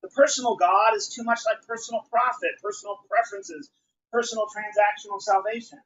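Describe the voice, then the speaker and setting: A man's voice: high-pitched, fairly even intonation, fairly quick, a bit bright. Dull-sounding recording of a declamatory male poet. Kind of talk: live spoken-word poetry reading. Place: live reading at a bookshop.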